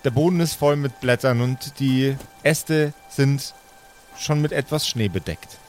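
A man's voice: mid-range voice, measured pace. Male game master, average register, informal improvised banter and narration over light music. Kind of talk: casual roleplay banter and scene narration. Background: background music.

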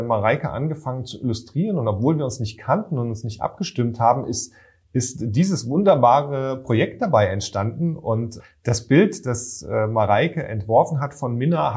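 Husky guy, author guest talking about his work, earnest n appreciative, medium pitch. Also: a little mellow. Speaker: children's book author, interview guest. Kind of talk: reflective personal account, spontaneous speech.

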